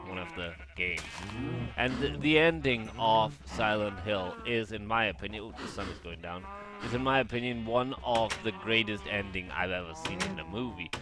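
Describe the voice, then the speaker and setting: A man giving casual, unscripted gaming commentary in a halting way, often rephrasing and correcting himself. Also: fairly low pitch. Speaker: gaming vlogger.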